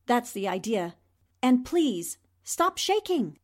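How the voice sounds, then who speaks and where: A poised, plucky lady columnist with a fairly high, animated voice. She is articulate, polite and firm, in the manner of an old radio drama heroine. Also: takes it slowly, full character voice. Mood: urgent. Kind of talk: 1940s radio drama acting.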